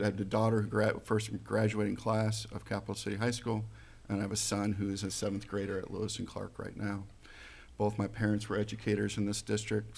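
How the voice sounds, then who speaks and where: A man in his forties answering at a school board candidate forum, plain and halting, with fillers and restarts. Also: fairly low pitch, gravelly, darkish tone. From Missouri, USA. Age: 40s.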